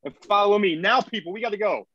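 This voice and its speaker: A man's voice: high voice, quick pace, a bit bright, breathy edge. A man playing an urgent, commanding colony leader rallying people in a screenplay table read. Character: Lydecker, urgent commanding male leader rallying a frightened crowd. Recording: roomy, dull lo-fi audio.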